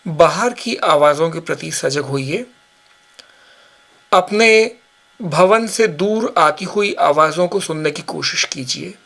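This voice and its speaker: A man giving guided yoga nidra meditation instructions in measured short phrases with pauses. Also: a little high, vocal fry, fairly bright tone.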